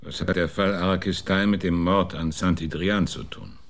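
A laconic, sardonic private detective with a low voice. He dodges questions with dry, wry answers in a radio drama. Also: steady tone, normal speed. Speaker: private investigator.

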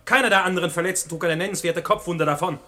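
An actor playing a combative, indignant defence lawyer in a radio play, arguing emphatically. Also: male voice, high-pitched, fast, sharp tone. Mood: argumentative. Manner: argumentative, emphatic. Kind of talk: German radio play acting.

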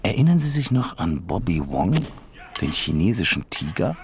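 Very deep noir detective narrator. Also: male voice, big pitch swings, normal speed, noisy background. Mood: wry, laconic. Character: world-weary, broke male private detective narrating. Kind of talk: noir first-person radio drama narration.

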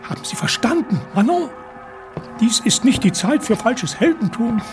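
A man's voice: very high-pitched, lively intonation, normal speed, over music. An actor playing an anxious, urgent husband and politician in a radio drama, pleading as he speaks.

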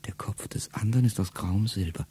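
Middle-aged male narrator for a radio play, very dark, husky voice, low and intimate, often whispering. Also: fairly quick. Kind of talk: first-person literary monologue in a radio play.

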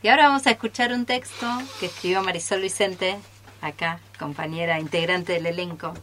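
A woman's voice: on the low side, fairly even intonation, a little fast, a bit bright, slight background noise. A woman with an Argentine accent casually introducing a radio theatre piece live as its host and workshop leader.

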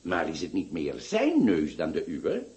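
An actor playing a pompous, eccentric doctor who lectures and scolds grandly in a radio play. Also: male voice, sits low, wildly swooping pitch, unhurried, a little mellow, heavily acted. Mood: lecturing. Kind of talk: radio drama acting.

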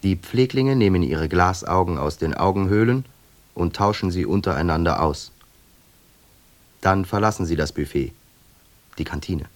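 An actor playing an asylum inmate who narrates a radio play in a measured, obsessive, self-correcting way. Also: male voice, deep voice, natural voice.

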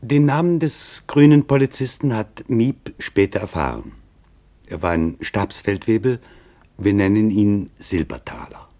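A man narrating a documentary radio drama in a sober, deliberate, measured manner. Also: fairly low pitch, expressive, mellow.

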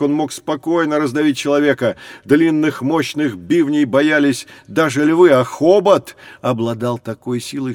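A man playing a knowledgeable guide in an educational audio drama, explaining things in a measured, instructive way. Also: mid-range voice, darkish tone, lightly acted. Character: knowledgeable adult guide leading children through prehistory.

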